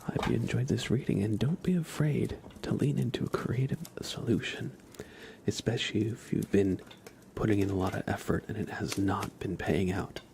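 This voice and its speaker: A man with a fairly low voice hosts an ASMR livestream, talking to viewers close to the microphone. He is soft and breathy, often dropping to a whisper, with a soothing, unhurried manner. A faint ambient noise sits behind him. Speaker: livestream host.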